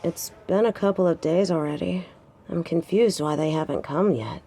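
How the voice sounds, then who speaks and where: A woman's voice: on the low side, moderate pace, warm tone. Woman acting a wry, protective young lover. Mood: worried. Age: young adult. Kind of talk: intimate audio drama acting.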